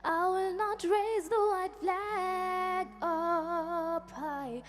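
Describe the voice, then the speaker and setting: A young woman half-singing a defiant chant on stage, slow and drawn out. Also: very high-pitched, steady tone, soft background music. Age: young adult. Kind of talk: sung and chanted performance. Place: stage performance.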